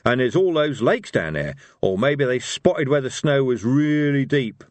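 A man voicing a touchy, blustering villain, a colloquial, indignant dark lord in a storybook. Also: medium pitch, lively intonation, a little slow, dry recording, voice pushed up, natural voice. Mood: stubbornly hopeful.

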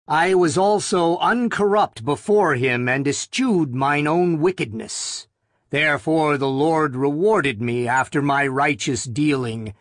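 A man voicing a solemn priest in an audiobook, reading scripture in a commanding, measured, declamatory way. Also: a little high, no echo, studio quality. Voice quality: commanding, clear. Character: priest solemnly reading a psalm aloud at graveside. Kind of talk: audiobook character voice.

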